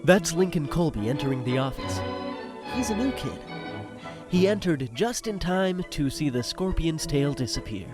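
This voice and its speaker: A man playing a chatty insider who narrates a high school comedy, confiding directly in the listener. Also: animated, normal speed, lightly acted. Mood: wry. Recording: music behind the voice.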